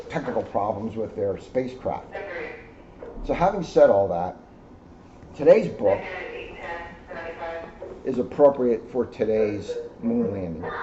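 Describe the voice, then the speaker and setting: A middle-aged American man giving an informal educational talk in a deliberate, emphatic way, phrase by phrase. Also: fairly low pitch. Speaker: police officer reading to children. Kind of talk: informal educational talk and picture-book reading. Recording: muffled audio with clear background noise.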